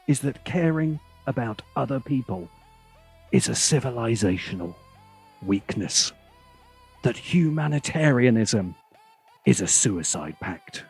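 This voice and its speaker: Male video essayist, highly animated delivery, measured pace with dramatic pauses, sardonic edge. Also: slightly rough. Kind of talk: scripted video essay narration.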